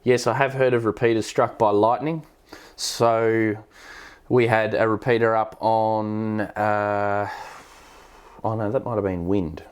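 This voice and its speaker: A man's voice: fairly low pitch, level tone, slow, clean tone. An Australian man hosting a casual technical question-and-answer stream, explaining things hesitantly as he thinks aloud. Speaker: live stream host, amateur radio operator.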